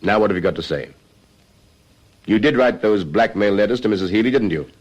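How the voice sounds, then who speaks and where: Measured low-voiced police detective. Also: male voice, lively intonation. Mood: probing, stern. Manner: measured, probing, formal. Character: calm, methodical male police detective interrogator. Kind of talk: classic radio crime drama acting.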